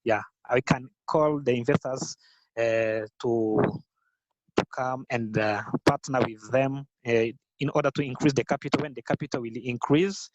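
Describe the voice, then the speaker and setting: A Rwandan man whose first language is Kinyarwanda, answering interview questions in careful, halting English with many fillers and restarts. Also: mid-range voice, steady tone, no echo, lo-fi sound. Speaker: guest, business founder. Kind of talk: podcast interview.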